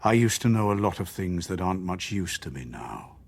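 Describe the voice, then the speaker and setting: An actor playing a wistful old shopkeeper in a radio drama, husky and measured. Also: male voice, low-pitched, sing-song, full character voice. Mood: gently wistful. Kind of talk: British radio drama acting.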